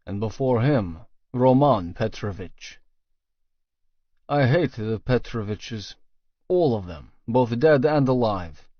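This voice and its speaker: A man's voice: mid-range voice, dry recording. A man reading first-person fiction as an audiobook narrator, in a scripted storytelling style. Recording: dry, slightly dull studio sound.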